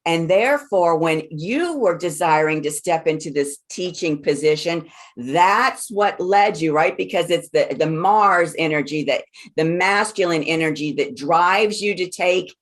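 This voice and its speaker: A woman giving a one-on-one astrology reading, explaining in measured, deliberate phrases with repeated words for emphasis. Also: on the low side.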